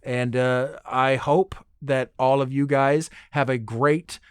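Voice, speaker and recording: A man with a medium-pitched voice hosts a solo podcast. He rambles along conversationally with fan-like enthusiasm and casual profanity. The sound is dry, with little room around the voice. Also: fairly even intonation, takes it slowly, clean tone, no echo. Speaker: podcast host.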